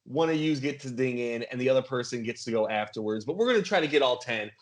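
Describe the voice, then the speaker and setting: A man's voice: medium pitch, fast. A man hosting a casual podcast game in a playful, mock-announcer way. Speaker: game show host on a podcast.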